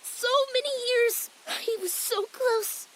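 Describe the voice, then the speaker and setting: Tearful, very high little boy sprite. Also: fairly even intonation, slow, slight background noise, low-quality recording. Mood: tearful despair. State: crying. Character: frightened small young male sprite, despairing, childlike. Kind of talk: video game cutscene acting.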